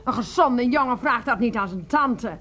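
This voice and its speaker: A high, very animated voice of a doting aunt in a radio drama. She fusses and exclaims, swinging widely in pitch as she chides her nephew. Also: moderate pace. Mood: indignant, scolding. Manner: exclamatory, fussing, chiding. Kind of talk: radio play acting.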